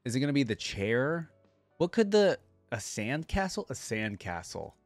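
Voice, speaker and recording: A man's voice: medium pitch, big pitch swings, moderate pace, no echo, soft background music. A man giving casual reaction commentary, thinking aloud in informal, slangy language. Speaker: reaction video host.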